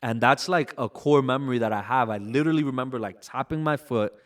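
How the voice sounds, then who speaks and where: A man in his twenties from Brooklyn, New York, a music artist recalling memories in a relaxed interview, peppering his talk with "like" and "you know". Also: mid-range voice, fast talker. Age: 20s. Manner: relaxed, reflective storytelling with frequent "like" and "you know". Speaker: bachata artist, guest. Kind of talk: casual interview conversation.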